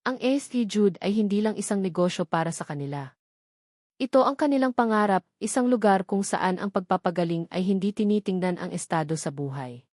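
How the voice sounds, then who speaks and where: A woman's voice: mid-range voice, a little mellow, no room sound, clean studio sound. A woman with a Filipino accent reading scripted narration of a romance story.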